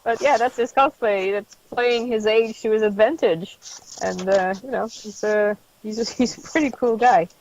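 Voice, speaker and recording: A woman's voice: a little high, normal speed, a little mellow, a little hiss or hum. A woman in her fifties, a guest on a casual panel chat, telling reflective anecdotes at an easy pace. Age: 50s. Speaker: podcast guest. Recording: dull lo-fi sound.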